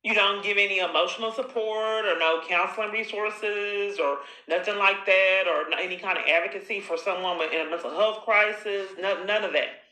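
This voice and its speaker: A woman's voice: mid-range voice, moderate pace, few pauses, sharp tone. A woman talking in an insistent, accusatory way, confronting someone on a call and running into long, repetitive monologues. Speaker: caller recording her own phone call. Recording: echoey room.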